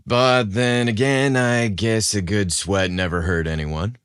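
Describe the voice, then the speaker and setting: A man's voice: on the low side, steady tone, a little slow, a bit bright, smooth, dry recording, studio quality. A man voicing an eager, slightly sheepish athletic young man in casual, friendly story dialogue. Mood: sheepish, rueful.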